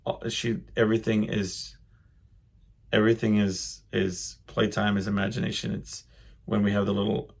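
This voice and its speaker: Creaky-voiced middle-aged man, rambling thoughtfully. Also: fairly low pitch, little pitch movement. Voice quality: loud voice. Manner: rambling, halting, thinking aloud. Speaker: podcast host. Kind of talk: casual solo monologue, personal reflection.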